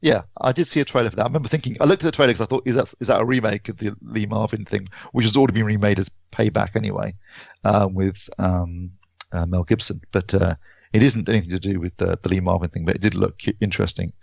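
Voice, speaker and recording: Male film podcaster, low gravelly voice, halting casual delivery, dull recording. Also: steady tone, darkish tone. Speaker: podcast co-host and film reviewer. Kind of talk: casual film release chat. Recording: dull, roomy lo-fi podcast audio.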